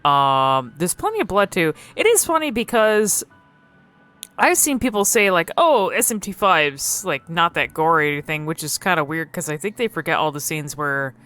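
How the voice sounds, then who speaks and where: A woman giving casual, unscripted game commentary, thinking aloud haltingly and swearing freely. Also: on the low side, sing-song, fairly bright tone.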